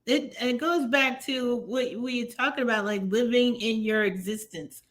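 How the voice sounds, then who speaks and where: A woman's voice: on the high side, fairly even intonation, unhurried, fairly bright tone. A woman hosting a podcast, speaking frankly and conversationally as she shares her own experience. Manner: frank, conversational, agreeing and sharing. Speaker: podcast host. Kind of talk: casual chat.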